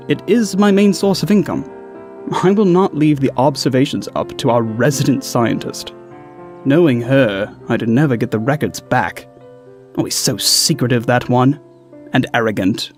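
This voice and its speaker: A man's voice: medium pitch, animated, normal speed, soft background music, lightly acted. A man playing a dignified, skeptical town watchman in a fantasy audio drama, speaking formally and precisely. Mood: dry, disdainful. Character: dignified, skeptical male town watchman, weary obsessive cloud observer.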